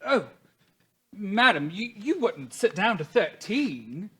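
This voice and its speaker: A woman's voice: mid-range voice, sing-song, slow, a bit bright, slightly rough, heavily acted. A woman playing a dutiful household servant in a period stage comedy, formal and deferential. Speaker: stage actor. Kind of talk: theatrical period comedy acting.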